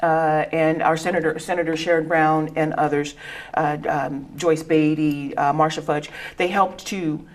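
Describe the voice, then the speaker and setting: A woman's voice: deep voice, little pitch movement, measured pace. A middle-aged woman educator speaking on a discussion panel in an earnest, conversational way, often restarting words and laughing.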